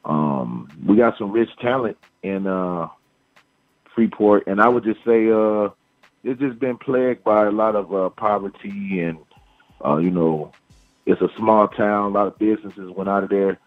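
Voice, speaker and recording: A man's voice: on the low side, unhurried, no echo. A man from Illinois calling in as an interview guest, laid-back and slangy. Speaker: guest artist. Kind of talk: casual phone-in interview. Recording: tinny phone line with faint music.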